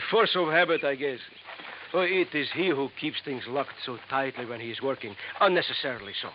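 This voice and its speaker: A man's voice: medium pitch, normal speed, lightly acted. An actor playing a sinister, scheming male professor with polished, formal diction, in 1950s radio drama acting. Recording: dull old radio recording, faint hiss.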